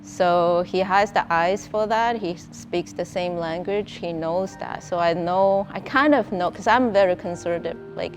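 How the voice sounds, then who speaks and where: A woman's voice: on the low side, normal speed, few pauses, slight background noise. A middle-aged woman from China, speaking in an earnest, plain way with small grammar slips in a documentary interview. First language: Chinese. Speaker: co-founder of a clothing brand. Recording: interview with music playing underneath.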